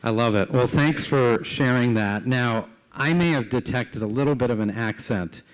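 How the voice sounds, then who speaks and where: A man's voice: medium pitch, moderate pace, clean tone, rough recording. A man hosting a live interview in a conversational, affirming way, prompting his guest with questions. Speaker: interviewer, company founder. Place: live event before an audience.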